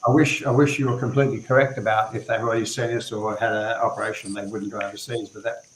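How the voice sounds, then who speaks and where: Low, flat-voiced man moderating a panel. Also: slight background noise. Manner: measured, hesitant with fillers and repeated words. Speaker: panel moderator, hair restoration surgeon. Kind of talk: live stream panel discussion. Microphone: video call. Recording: roomy echo on a video call.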